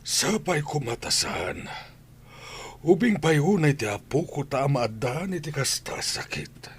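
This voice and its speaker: An actor playing a worried, pious older grandfather in a village radio drama, with a husky, breathy voice. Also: on the high side, expressive, takes it slowly, full character voice. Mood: worried, devout. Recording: music under the voice.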